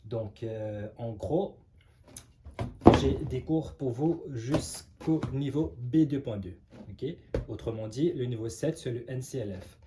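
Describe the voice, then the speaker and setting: A man's voice: medium pitch, takes it slowly, heavy background noise. A man teaching a casual online language lesson, hesitant and conversational, with many filler sounds. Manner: relaxed, conversational explaining. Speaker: French teacher.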